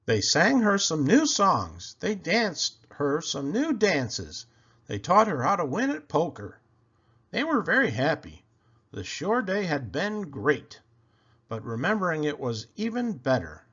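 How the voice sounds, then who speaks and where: A man reading a picture book aloud to children, expressive and deliberate in his phrasing. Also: on the high side, breathy edge, dull audio.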